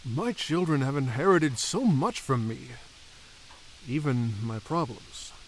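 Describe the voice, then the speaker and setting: A man playing a humble, troubled elf king and father in a fantasy audio drama, reflective and gently formal. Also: medium pitch, expressive, slow pace, a little hiss or hum, rough recording.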